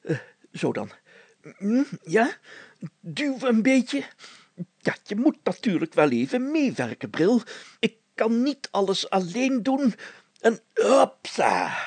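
A man's voice: high voice, huge pitch swings, takes it slowly, breathy edge, no echo, voice pushed up, exaggerated voice. A man voicing a pompous, blustering village mayor in a story, talking in grand formal words broken by groans and interjections. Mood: straining, bossy. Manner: pompous, old-fashioned formal wording, full of groans and interjections.

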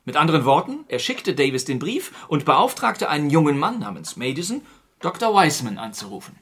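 An actor playing a composed, probing gentleman detective in a radio crime drama, measured and dryly polite. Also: a little high, sing-song, slightly rough, heavily acted. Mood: explaining, shrewd.

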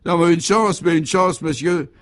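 Radio comedy role: fat, jovial mayor acted by a man, high excited pitch, pompous and declamatory. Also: moderate pace, close to an everyday voice. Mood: proudly emphatic. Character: jovial, fat small-town mayor, unshakably optimistic.

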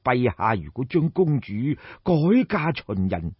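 Elderly royal counsellor for audio storytelling, acted by a man: shrewd, deferential, deliberate, roughened voice, slightly muffled recording. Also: mid-range voice, very animated, dry recording. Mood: earnest, cautioning. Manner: measured, formal, deliberate counsel. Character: grave old male royal minister, deferential, shrewd.

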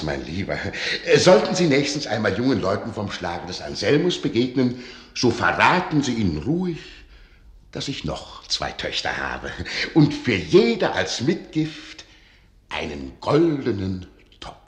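Mysterious older archivist, very low male voice, courtly and teasing, radio drama, echoing room. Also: huge pitch swings, a little slow. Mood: sly, playful. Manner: courtly, theatrical, playfully admonishing. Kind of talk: German radio play acting.

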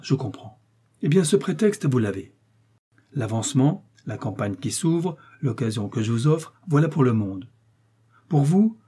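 A man puts on a powerful old cardinal in a storybook reading, smooth and lofty, coaxing and pressing. The voice is pitched higher and louder than the reader's own, with a dark, slightly husky tone. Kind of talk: audiobook character reading.

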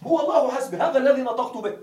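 A man's voice: very high-pitched, rapid-fire, a little mellow. A man teaching a grammar class, explaining step by step and quizzing his students with questions. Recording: echoey classroom from a distance, faint hiss.

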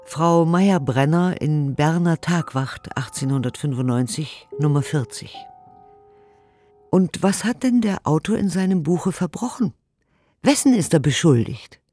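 A woman's voice: low voice, expressive, a little slow, mellow, faint music behind. An actor in a radio play voicing an earnest, indignant woman who reads 1890s letters and commentary emphatically and articulately. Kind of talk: dramatic radio play recitation of historical documents.

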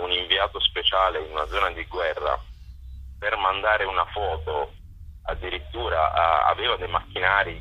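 A man's voice: deep voice, slightly rough, highs cut off. A man organizing cultural events, answers interview questions, hesitant and full of fillers.

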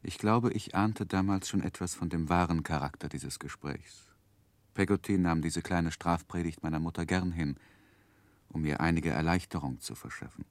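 An actor playing a grown man who soberly recalls his childhood, narrating a 1960s radio play in a measured, reflective way. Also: sits low, no echo. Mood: wistful, reflective.

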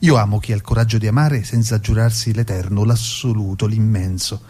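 A man's voice: fairly low pitch, fairly even intonation, fairly quick, darkish tone. A man recites his own verse. The delivery is earnest and incantatory, building through repeated lines as a poet would. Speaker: guest poet reading own work. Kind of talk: poetry recitation.

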